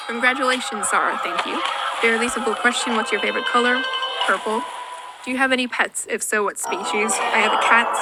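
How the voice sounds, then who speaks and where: A woman's voice: on the high side, very noisy. A young woman from Finland, a native Finnish speaker, answering viewer questions in a dry, self-deprecating voice-over. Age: young adult. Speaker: YouTuber. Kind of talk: Q&A vlog voice-over.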